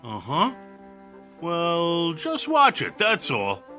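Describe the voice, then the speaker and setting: A man's voice: on the high side, huge pitch swings, very slow, bright, forceful tone, faint music behind, full character voice. An actor playing a bumbling, suspicious policeman in a radio drama, hesitant yet trying to be stern. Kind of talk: comedic radio drama acting.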